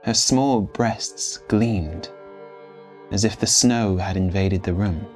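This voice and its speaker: A man reading literary fiction as a storyteller, with measured, theatrical phrasing. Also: lowish voice, darkish tone, soft background music. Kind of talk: dramatic literary narration.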